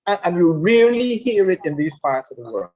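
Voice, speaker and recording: A man with a Caribbean English accent speaks in a fairly high voice and repeats his points with emphasis, as if lecturing. The recording sounds dull and lo-fi. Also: very animated, brisk, a little mellow. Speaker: guest speaker on leadership. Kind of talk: conversational discussion.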